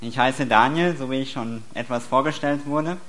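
A man working as the interpreter for a visiting preacher in a church, repeating each short phrase right after the speaker. He now and then hesitates and restarts mid-phrase, at a voice of middle pitch. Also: a bit bright. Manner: quick, with occasional hesitant restarts. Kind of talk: consecutive sermon interpreting.

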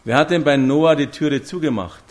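A man's voice: on the high side, normal speed. A man, preaching a sermon to a congregation and telling stories with direct questions to his listeners. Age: 50s.

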